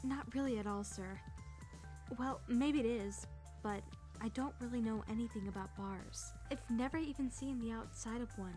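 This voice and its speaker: Casting: woman to play sarcastic, nervy teenage girl lead for visual novel, higher-pitched, expressive narration and dialogue, music underneath. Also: normal speed, natural voice. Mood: earnest. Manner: expressive, sarcastic, stammering when nervous. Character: flustered, sarcastic teenage girl trainee narrating her thoughts.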